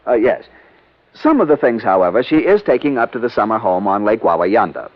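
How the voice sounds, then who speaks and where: A man's voice: on the low side, lively intonation, brisk. An actor playing a formal, easily scandalized office man in a 1940s radio drama. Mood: prim. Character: prim, proper male insurance office man. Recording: muffled old radio broadcast.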